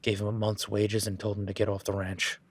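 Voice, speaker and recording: A man's voice: on the low side, monotone, a little fast, husky. A man voicing an easygoing male cowboy ranch hand in an audio roleplay, talking in a laid-back, folksy way with casual swearing.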